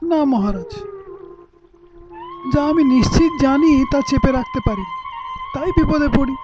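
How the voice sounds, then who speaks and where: An actor playing a serene, fearless wandering ascetic, calm and philosophical, in an audio play. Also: male voice, very high voice, a little slow. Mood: calm, frank. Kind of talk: Bengali audio play acting. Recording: dull lo-fi audio play, faint music.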